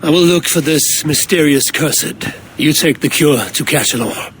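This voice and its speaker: A stoic Viking warrior in a dramatic game scene. The man's voice is a little high, and his delivery is terse and direct. There is faint ambient noise behind him. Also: measured pace, a bit bright. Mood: determined. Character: stoic, blunt Viking warrior, questioning and determined. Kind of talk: dramatic video game cutscene acting.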